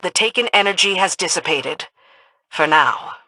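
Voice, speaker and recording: A woman voicing a dutiful military lieutenant to a queen in a video game, crisp and businesslike. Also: on the low side, expressive, moderate pace, bright, forceful tone, poor audio. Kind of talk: video game mission dialogue.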